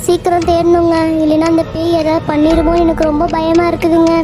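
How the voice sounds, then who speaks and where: A woman's voice: very high-pitched, completely flat delivery, a little fast, pitched above the performer's own voice. A woman voicing a fretful young Tamil housewife in a cartoon, with a pleading, repetitive manner and a Tamil accent. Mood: frightened. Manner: pleading, fretful, keeps repeating "athai". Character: young Tamil housewife Thamayanthi, anxious and fussy; also a ghost disguised as her. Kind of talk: cartoon voice acting. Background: background music.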